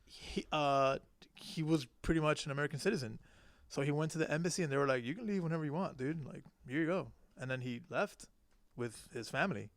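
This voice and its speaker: A man's voice: a little high, brisk, no room sound. A man from Cuba talking as a podcast guest, telling personal stories in casual, informal language. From born in Cuba, left as a small child. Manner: slangy, informal. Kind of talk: casual chat, personal storytelling.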